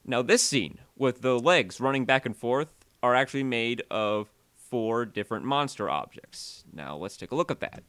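A man narrating a software tutorial voiceover, halting and often repeating or correcting himself as he explains. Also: medium pitch, lively intonation, no room sound.

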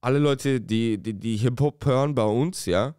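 Smooth, laid-back rapper, thirty, slangy. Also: male voice, medium pitch, normal speed, darkish tone, no echo, studio quality. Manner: laid-back, slangy, drops bro and man. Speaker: rapper guest. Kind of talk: casual podcast interview. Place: small studio room with posters, seated at table. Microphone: podcast mic on boom arm.

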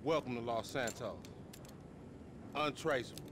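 An actor playing a boisterous, friendly young street hustler in a video game, with an American accent and slangy, swaggering delivery. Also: male voice, medium pitch, unhurried, background noise, close to an everyday voice. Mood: friendly, boastful.

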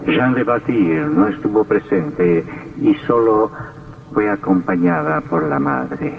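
An actor playing a sardonic, sinister horror storyteller in an old radio drama, speaking with theatrical deliberation. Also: male voice, lowish voice, expressive, takes it slowly, vocal fry, distant mic, heavily acted. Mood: mocking gloom. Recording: tinny, band-limited old radio with music.

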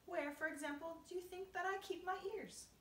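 A woman's voice: extremely high pitch, fairly even intonation, a little fast, fairly bright tone, reverberant, recorded from a distance, slight background noise, voice pushed up. A woman voicing an old male grasshopper, a genial, proud musician with a courteous, old-fashioned, teasing way of explaining. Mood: genial, instructive.

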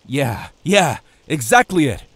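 An actor playing a goofy, cheerful adventurer in a video game fan dub, playful and theatrical. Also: male voice, on the high side, very animated, takes it slowly, a bit bright, breathy edge, dry recording, exaggerated voice. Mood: wistful. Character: goofy, cheerful man fighting dragons, game hero.

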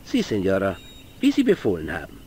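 A man's voice: low-pitched, wildly swooping pitch, brisk, warm tone, slight background noise. A man playing a loyal but distrustful servant in a video game, stiff and deferential.

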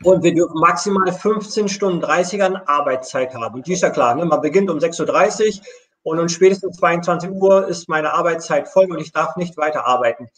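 A man's voice: on the high side, fairly quick, a bit bright. A middle-aged man explaining things step by step on a live video call, as an air rescue station manager. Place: room at home.

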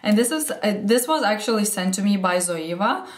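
A woman's voice: mid-range voice, animated, moderate pace, roomy sound. Throaty young woman chatting at home. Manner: chatty, gushing, a little breathless. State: throaty from a cold. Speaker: beauty and fashion vlogger. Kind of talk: casual what's-in-my-bag chat. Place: sunny room at home, indoors. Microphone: camera facing her, sitting close.

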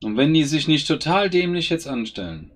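A man's voice: on the high side, big pitch swings, normal speed. A man giving casual gameplay commentary, grumbling and exasperated as he swears at his own units. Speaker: gaming YouTuber.

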